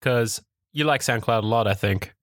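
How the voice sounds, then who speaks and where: A man's voice: mid-range voice, moderate pace, a bit bright, no room sound. A man hosting a casual tech podcast, stuffed up from a cold, chatty and laughing often. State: congested with a cold. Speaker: podcast host. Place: Europe.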